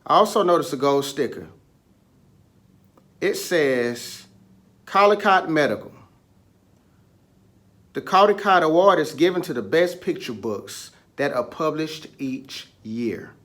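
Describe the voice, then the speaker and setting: A man's voice: fairly high pitch, expressive, a little slow, long pauses, a bit bright. A man leading a picture book read-aloud lesson for children, instructing, asking questions and prompting listeners along.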